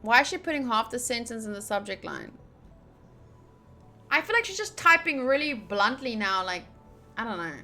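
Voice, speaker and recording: A woman's voice: on the high side, very animated, moderate pace, long pauses, pushed and bright. A young woman giving casual, chatty playthrough commentary, reading game text aloud and tossing in joking asides. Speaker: streamer doing a game playthrough. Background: game music.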